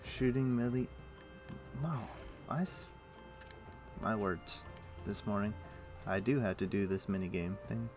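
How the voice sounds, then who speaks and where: A man chatting casually on a game live stream, thinking aloud in a halting, muttering way as he plays. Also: on the low side. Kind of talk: casual live-stream chat while playing an online game. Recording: faint music behind the voice. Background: faint music.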